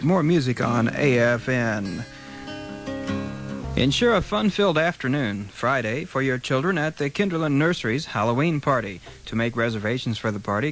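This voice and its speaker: An American man announces on an old radio broadcast. His pitch is medium, and music plays clearly behind his scripted notices and forecasts. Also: fairly bright tone.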